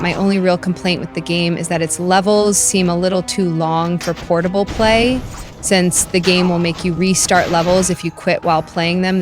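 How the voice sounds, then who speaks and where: Low-pitched woman narrating a game review.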